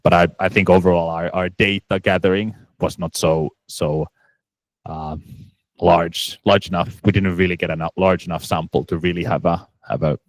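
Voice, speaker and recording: Deep-voiced man answering, halting. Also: fairly even intonation, no echo. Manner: halting, many restarts and fillers. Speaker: startup presenter. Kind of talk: conference Q&A answer.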